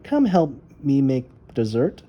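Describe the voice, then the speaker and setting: A woman voicing a sensible, mildly scolding babysitter in a children's storybook, speaking in a measured, firm way. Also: mid-range voice, big pitch swings, highs cut off.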